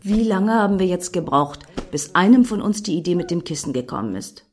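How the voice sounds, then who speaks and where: An actress playing a scheming, grandly solemn woman in a satirical radio play, speaking in deliberate, lofty phrases. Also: fairly low pitch, lively intonation, a little mellow, lightly acted. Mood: resolute.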